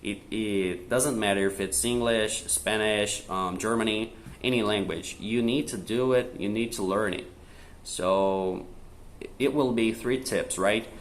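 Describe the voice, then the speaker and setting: A young man from Brazil gives learning tips straight to the camera in a relaxed, chatty way. His voice sits a little low, and he drops in small tag words as he goes. His English carries a Brazilian accent, and a faint hum of room noise sits under the recording. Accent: Brazilian Portuguese. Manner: chatty, fillers like né and tá, switches to slow careful English. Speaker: vlogger teaching language tips. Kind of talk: casual direct-to-camera tips.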